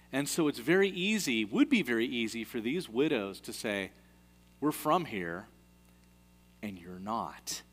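A man's voice: medium pitch, big-room sound. A man preaching a sermon in an earnest way, often repeating key phrases for emphasis.

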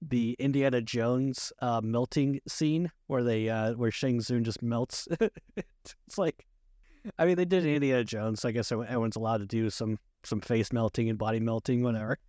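A man hosting a casual movie review podcast, talking in a halting, repetitive way. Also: mid-range voice, a little mellow, no room sound, studio quality. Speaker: podcast host.

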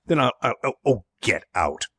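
A man voicing a sulky, wrathful young gentleman with a blunt, indignant manner in a storybook reading. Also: deep voice, moderate pace, dry recording, lightly acted. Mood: wrathful, spluttering.

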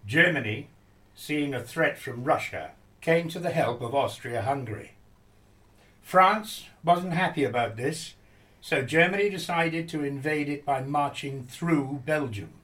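A man's voice: fairly high pitch, unhurried, fairly bright tone. A man reading scripted historical narration for a radio play.

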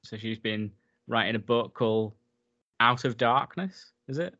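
A man's voice: medium pitch, unhurried, sharp tone, muffled recording. A man in a casual film recap chat, enthusiastic and talkative, laughing often as he goes. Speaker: podcast co-host.

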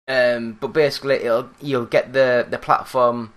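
A man with a Yorkshire accent hosting a solo gaming news podcast, talking in a fairly monotone, plain-spoken way. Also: mid-range voice, measured pace. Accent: Yorkshire English. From Yorkshire, England. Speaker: podcast host.

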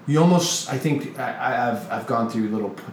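A man hosting a casual podcast, speaking openly and emotionally, halting and restarting as he searches for words. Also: medium pitch, reverberant, slight background noise. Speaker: podcast host.

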